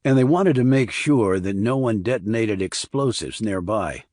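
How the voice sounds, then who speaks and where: A man playing a steady ex-Army investigator in an audiobook, direct and controlled as he questions a witness. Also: fairly low pitch, moderate pace, dry recording, studio quality, natural voice. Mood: probing.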